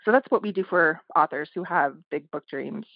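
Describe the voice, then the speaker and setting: A woman's voice: deep voice, moderate pace, dry recording, lo-fi sound. A woman who runs a publishing house, speaking as a podcast guest in a thoughtful, conversational way with occasional fillers. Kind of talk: casual podcast interview.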